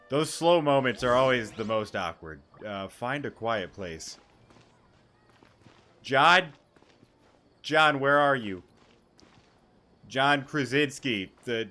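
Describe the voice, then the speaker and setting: A man's voice: medium pitch, expressive, slow, pauses often, bright, forceful tone, faint music behind. A man doing casual let's-play commentary on a video game, joking, sarcastic and sweary. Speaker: gaming streamer.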